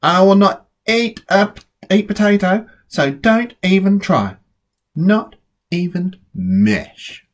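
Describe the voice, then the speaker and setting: A woman's voice: mid-range voice, lively intonation, slow pace. A woman voicing a fussy, stubborn little girl in a storybook, with emphatic, dramatic delivery. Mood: stubborn, defiant. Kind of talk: storybook character voice.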